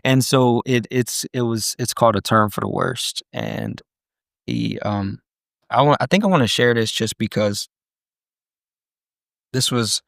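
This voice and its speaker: A man's voice: medium pitch, a little slow, vocal fry, pauses often, fairly bright tone, no echo, clean studio sound. A man, a guest rapper, talking casually and rapping his verses with a rhythmic flow. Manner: rhythmic rap delivery, laid-back in conversation.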